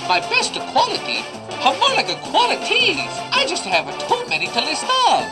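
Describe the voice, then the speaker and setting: High goofy cartoon plumber. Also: wildly swooping pitch, moderate pace, exaggerated voice. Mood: excited. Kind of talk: comic dub voice acting. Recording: music under the dialogue.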